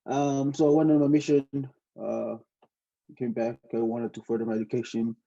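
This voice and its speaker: Hesitant, dark-voiced Tongan man. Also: mid-range voice, steady tone, normal speed, vocal fry, dull audio. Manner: hesitant, many fillers, laughs often. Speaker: podcast guest, student pilot. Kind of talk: casual interview storytelling.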